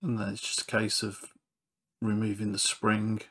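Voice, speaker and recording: A man's voice: fairly low pitch, monotone, normal speed, fairly bright tone, slightly rough. A man narrating a hands-on repair walkthrough in an understated, matter-of-fact way. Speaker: repair channel presenter.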